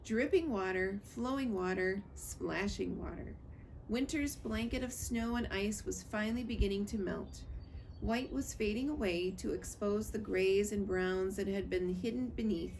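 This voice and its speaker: A woman's voice: medium pitch, measured pace, creaky, a little mellow, a little hiss or hum, rough recording. A woman reading a children's novel aloud as storybook narration.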